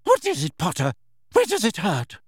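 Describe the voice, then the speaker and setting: A man's voice: high voice, huge pitch swings, slightly rough, no room sound, studio quality, pitched above the performer's own voice, heavily acted. A man reading an audiobook, voicing a stern older woman teacher who speaks curtly. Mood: questioning. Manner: curt, brisk.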